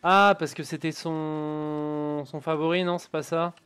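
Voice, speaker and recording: A man's voice: fairly high pitch, little pitch movement, a little slow, fairly bright tone, clear, resonant tone, dry recording, faint music behind. A man streaming a video game, joking casually and reading in-game text aloud. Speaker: gaming streamer. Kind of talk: casual let's-play commentary.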